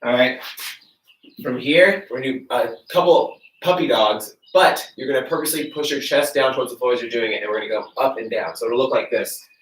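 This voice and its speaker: A man's voice: medium pitch, fairly quick. Congested man coaching from across a roomy gym. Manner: relaxed, friendly coaching while demonstrating stretches on the floor. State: congested, stuffy nose. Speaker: fitness coach. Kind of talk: live-streamed workout instruction. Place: garage gym, indoors. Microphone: camera mic from across the room. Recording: echoey garage, voice from a distance.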